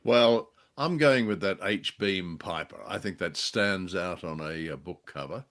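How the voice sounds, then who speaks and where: A middle-aged man co-hosting a podcast in casual chat, speaking in a hesitant, halting way. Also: low voice, fairly bright tone. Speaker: podcast co-host. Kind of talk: casual scripted-topic chat.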